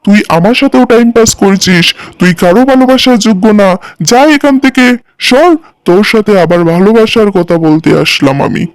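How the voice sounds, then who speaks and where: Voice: mid-range voice, lively intonation, a little fast, lightly acted. A performer voicing an indignant young man in a cartoon, a boyfriend with a questioning, accusing manner. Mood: indignant, scornful.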